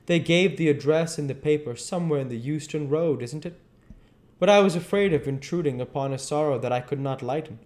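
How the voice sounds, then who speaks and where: A man voicing a grave, heartbroken gentleman, formal and pleading, from a classic novel. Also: a little high, fairly quick, voice pushed up, lightly acted. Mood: distressed, earnest. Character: grave, heartbroken gentleman friend, earnest and reproachful.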